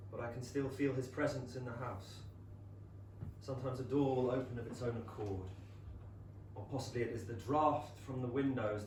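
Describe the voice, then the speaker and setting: A man's voice: mid-range voice, recorded from a distance, close to an everyday voice. A man in a staged reading, playing a lonely, sardonic ageing character with a wistful, dry, theatrical delivery. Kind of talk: staged play reading, monologue. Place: small London theatre. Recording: echoey theatre from afar, faint noise.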